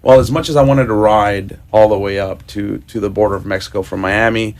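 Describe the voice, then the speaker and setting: A man from Ecuador, a guest on a radio show, telling his story in a relaxed, casual way. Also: on the low side, fairly quick. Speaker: guest motorcyclist.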